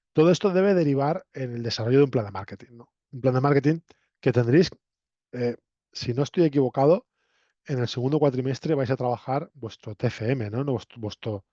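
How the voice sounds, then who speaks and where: A man's voice: medium pitch, moderate pace, warm tone. A man from Spain with a Castilian accent, lecturing to students in a conversational, didactic way, often checking in with short tag questions. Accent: Castilian Spanish. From Spain, La Mancha. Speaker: university lecturer. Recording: roomy echo, dull lo-fi sound.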